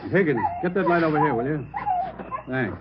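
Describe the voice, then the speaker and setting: An actor playing a businesslike police investigator with a clipped, observant manner in an old radio crime drama. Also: male voice, on the high side, lively intonation, fairly quick, natural voice. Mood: brisk, intent. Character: brisk, businesslike police investigator digging for evidence. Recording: dull old radio recording, faint noise.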